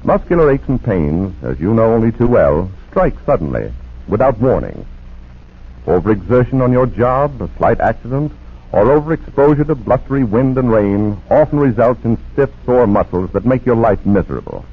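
A man reads a 1940s radio commercial with a polished, persuasive salesman's delivery. His intonation swings widely. The old recording is narrow and phone-like, with a husky, unclear tone. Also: mid-range voice, sing-song, normal speed. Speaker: radio announcer. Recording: muffled, narrow-band vintage radio recording.

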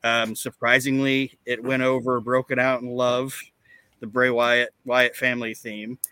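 A man hosting a casual comedy podcast, chatting and reading things out in a deadpan way. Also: medium pitch, a little slow, clean tone, no room sound. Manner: deadpan, monotone when reading. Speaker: podcast host. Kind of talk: casual comedic podcast chat with mock recitation.

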